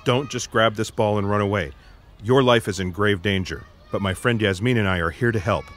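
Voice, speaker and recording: A man's voice: on the low side, brisk, very noisy, lightly acted. A man playing an earnest, matter-of-fact would-be rescuer in a sci-fi audio drama.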